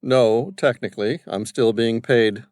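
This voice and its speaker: A man's voice: medium pitch, unhurried, a little mellow, no echo, natural voice. A man voicing a grown son who talks back to his father in a wry, blunt way, close to his own voice.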